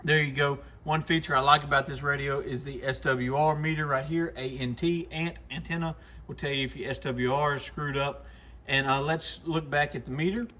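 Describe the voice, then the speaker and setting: A man's voice: fairly high pitch, steady tone, normal speed, pushed and bright. A man hosting an informal, plain-spoken product demonstration for a video channel, explaining things casually as a shop owner.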